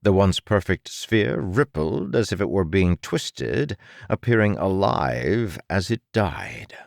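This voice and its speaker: A low-voiced man reads dramatic fiction as an audiobook narrator. His delivery is slow and deliberate, with an expressive storytelling rise and fall and a very dry, close sound. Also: creaky, no room sound.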